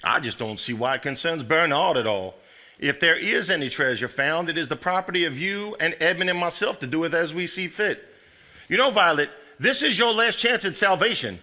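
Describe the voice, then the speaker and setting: A blunt, indignant man in a 1950s family stage play. His voice is piercingly bright and sits fairly high, with a nagging, theatrical delivery. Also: lively intonation, a little fast. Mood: indignant, badgering. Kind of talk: live stage acting.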